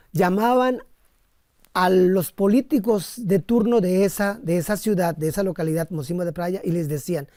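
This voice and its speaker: Mellow-voiced Colombian man telling his experiences. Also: sits high, no echo, studio quality. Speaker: missionary priest, interview guest. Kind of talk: conversational personal storytelling in an interview.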